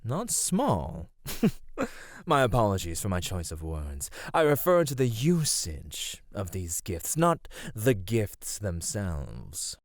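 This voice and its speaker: A man's voice: on the low side, huge pitch swings, slow, no echo, clean studio sound, heavily acted. A man voicing a flirty, vain male god of divine radiance in an ASMR roleplay, speaking in a teasing, affectionate way. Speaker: voice actor.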